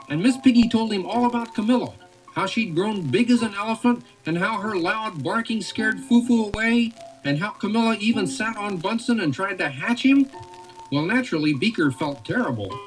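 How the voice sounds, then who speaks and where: A woman reading a children's storybook aloud with expressive, animated narration. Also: medium pitch, moderate pace, faint music behind, rough recording. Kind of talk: read-along story narration.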